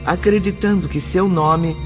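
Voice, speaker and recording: Slow, solemn male audiobook narrator. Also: sits high, fairly even intonation. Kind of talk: scripted dramatic audiobook narration. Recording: dull lo-fi audio under loud music. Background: music.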